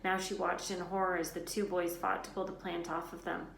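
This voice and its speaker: A woman reading a children's novel aloud as an expressive storyteller. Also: fairly low pitch, fairly quick, gravelly. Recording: roomy echo, faint background noise.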